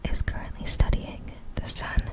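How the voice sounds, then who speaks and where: A woman reading facts in a breathy ASMR whisper, halting and apologetic when she stumbles over words. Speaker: ASMR video creator.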